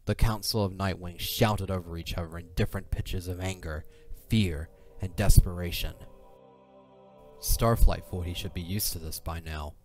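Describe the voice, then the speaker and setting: A man's voice: low-pitched, steady tone, moderate pace. A man narrating a scripted, dramatic fantasy story as the narrator of an audio drama. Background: music.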